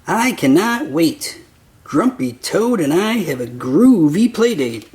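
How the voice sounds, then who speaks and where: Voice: medium pitch, sing-song, slow, a little mellow. A storybook reader voicing a cheerful, easygoing young kitten in an upbeat singsong way. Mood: eager.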